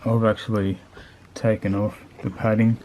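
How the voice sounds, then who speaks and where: A man's voice: fairly low pitch, flat delivery, normal speed, a little mellow, slight background noise, poor audio. A man giving a casual, unscripted product review in a laid-back, colloquial, plain-spoken way. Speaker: motorcycle video channel host reviewing gear.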